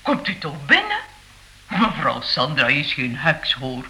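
A woman's voice: deep voice, huge pitch swings, measured pace, bright, forceful tone, exaggerated voice. An actress playing a charming yet unsettling seventy-five-year-old castle lady in a radio play, theatrical and coquettish. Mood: gracious, playful. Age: older. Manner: theatrical, coquettish hostess, refers to herself in third person. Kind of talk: Dutch-language radio play acting. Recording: dull, muffled radio play recording.

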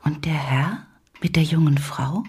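An actress in a radio drama playing a pharmacist's sickly wife, breathy and listless, asking wary questions. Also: female voice, low voice, a little slow, darkish tone, exaggerated voice. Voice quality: breathy, whispery. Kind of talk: literary radio drama acting.

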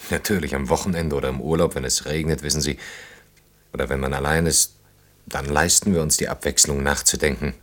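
A man's voice: very deep voice, steady tone, a little fast. An actor playing a sober, politely wistful man in a radio play, speaking in a formal, restrained, matter-of-fact way. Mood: reflective. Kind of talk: understated German radio play dialogue.

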